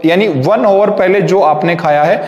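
A man, a gym trainer giving fitness tips slowly and deliberately, mixing in English phrases. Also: high voice, darkish tone, dull audio. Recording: echoey hall reverb.

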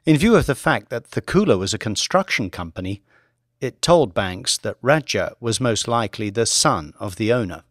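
Expressive male audiobook narrator, dry sound. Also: on the low side, moderate pace, no echo. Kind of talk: scripted dramatic fiction narration.

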